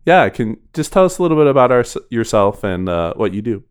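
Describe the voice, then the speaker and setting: A woman's voice: a bass voice, very animated, a little fast, clear, resonant tone. A woman co-hosting a podcast in a casual, friendly, informal conversational style. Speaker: podcast co-host.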